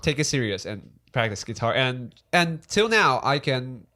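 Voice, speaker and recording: A young man from Taiwan with a Taiwanese accent, Mandarin his first language, co-hosting a casual podcast and talking in halting, restarting sentences. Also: mid-range voice, sing-song, sharp tone. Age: young adult. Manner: halting, many restarts and fillers. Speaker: podcast co-host. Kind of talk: casual chat.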